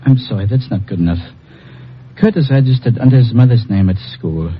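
Worried male European professor, acted by a man, formal, hesitant, pleading, very varied pitch, muffled old radio with faint noise. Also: expressive, a little fast, lightly acted. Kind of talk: 1950s radio drama acting.

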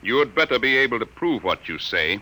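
A stern, formal colonel. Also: male voice, medium pitch, big pitch swings, a little fast, pushed and bright, rough recording. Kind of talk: 1950s radio drama acting.

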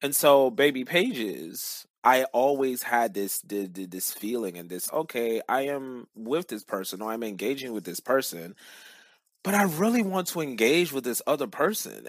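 A man's voice: medium pitch, no echo. A man from Cameroon speaking as a podcast guest in casual, reflective talk, with slangy, emphatic storytelling.

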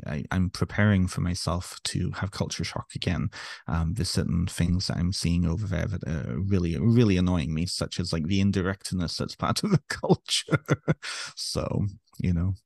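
Male podcast guest, deep gravelly voice, mixed Canadian and British accent, hesitant and reflective, dry close sound. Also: darkish tone, no room sound. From England. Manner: hesitant, many restarts and fillers. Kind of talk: reflective interview conversation.

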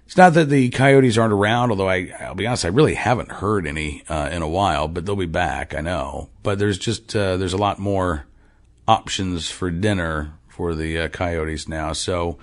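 Deep-voiced man in his forties. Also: normal speed. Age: 40s. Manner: rambling, frequent fillers and restarts. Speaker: podcast host. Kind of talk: casual monologue. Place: farm.

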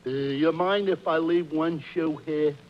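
An actor playing a dopey, earnest, slow-witted man in an old radio comedy. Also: fairly high pitch, fairly even intonation, slight background noise, natural voice. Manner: dopey, plodding. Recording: muffled vintage radio recording.

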